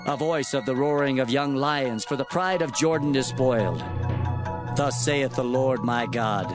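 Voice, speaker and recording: An actor playing a solemn prophet, declaiming divine oracles in archaic language in a dramatized scripture reading. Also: male voice, mid-range voice, a little slow, over music, lightly acted. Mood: grave, forceful.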